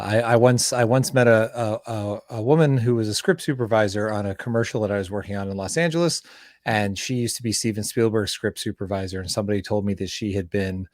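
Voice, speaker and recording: A man from Canada hosting a casual interview, speaking haltingly and repeating words as he goes. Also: fairly low pitch, dry recording, clean studio sound. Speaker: show host and interviewer.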